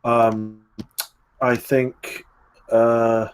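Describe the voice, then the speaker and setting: A man's voice: medium pitch, little pitch movement, very slow, clear, resonant tone, poor audio. A man giving casual spoken feedback as a table read participant, rambling a little and often stammering over repeated words.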